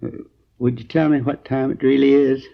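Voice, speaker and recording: A man acting a gravelly, groggy old American storekeeper. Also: mid-range voice, little pitch movement, close to an everyday voice. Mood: groggy, hazy. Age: 60s. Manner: halting, rambling, folksy. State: groggy, in pain. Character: injured, drowsy elderly male storekeeper, rambling and earnest. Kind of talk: 1950s radio crime drama acting. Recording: dull old 1950s radio recording.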